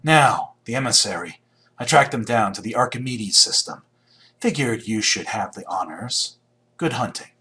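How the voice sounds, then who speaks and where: An actor playing a confident, sly, menacing hunter in a space video game, with a dry, taunting manner. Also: male voice, medium pitch, lively intonation, moderate pace, bright, forceful tone. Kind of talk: video game character acting.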